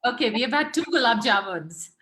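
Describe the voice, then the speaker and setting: A woman's voice: a little high, expressive, a little fast, a crowd in the background. A woman hosting a casual group chat, interviewing children in an encouraging way and often chiming in with short affirmations. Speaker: host.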